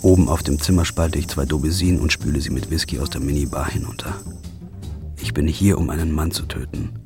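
A man playing a weary, haunted first-person narrator in a radio drama, with a dark, brooding delivery. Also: extremely low pitch, fairly even intonation, fairly quick. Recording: music underneath.

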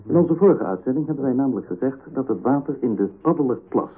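A formal, condescending male current-affairs presenter, played by an actor in a radio comedy. He speaks pompously and with an ordinary male pitch. The sound is thin and narrow, like an old radio recording. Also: lively intonation, fast talker, slight background noise, close to an everyday voice. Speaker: TV programme presenter. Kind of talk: scripted radio comedy acting.

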